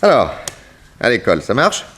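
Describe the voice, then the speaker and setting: A man playing a calm, self-assured private detective in a 1960s French radio drama, composed and reassuring. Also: medium pitch, normal speed, slightly rough, full character voice. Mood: kindly. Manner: composed, reassuring, probing with short questions.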